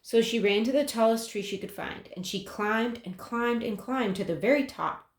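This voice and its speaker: A woman reading a children's picture book aloud in an expressive way, with dramatic pauses. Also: a little high. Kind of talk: children's story read-aloud. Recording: roomy, echoing sound.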